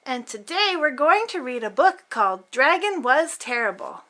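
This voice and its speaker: Very animated, high-pitched woman reading a storybook. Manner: theatrical, with drawn-out emphatic words. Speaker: host and reader of a children's book channel. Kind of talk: animated read-aloud of a picture book.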